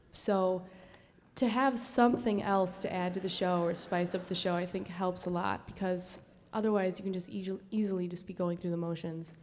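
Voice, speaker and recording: A woman's voice: mid-range voice, a little mellow, big-room sound. A young woman telling an anecdote as an interview guest, informal and chatty in manner. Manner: informal, giggly, frequent "like". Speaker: interview guest, touring dancer.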